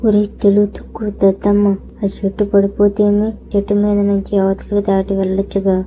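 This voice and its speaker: Casting: woman as teasing, sly talking fruit for a moral tale; mellow, flat delivery, faintly noisy recording. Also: mid-range voice, a little fast, lightly acted. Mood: scornful. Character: mocking, tricky talking fruit and vegetable. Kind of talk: animated moral story dialogue.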